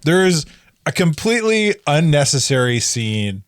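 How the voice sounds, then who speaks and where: A man's voice: fairly high pitch, very animated, unhurried, no room sound, studio quality. A man co-hosting a podcast, chatting casually and often stammering and repeating words as he goes off on tangents. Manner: stammering repeats, laughs at his own tangents. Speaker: podcast co-host. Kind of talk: casual chat.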